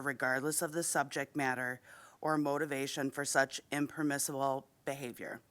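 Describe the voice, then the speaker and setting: Low-voiced woman reading a formal appeal. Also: fairly bright tone. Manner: formal, earnest and measured. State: slightly unwell. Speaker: parent giving public comment. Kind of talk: reading a prepared statement. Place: school board meeting room.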